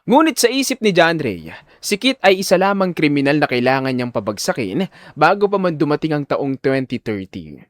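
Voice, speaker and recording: A man's voice: a little high, big pitch swings, normal speed, no echo, clean studio sound. A man narrating a scripted audio drama as a dramatic storyteller.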